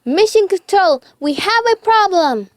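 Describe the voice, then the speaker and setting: A woman voicing an excitable, adventurous young girl in an emphatic storybook read-aloud. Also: extremely high pitch, measured pace, no echo, clean studio sound, pitched above the performer's own voice. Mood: urgent.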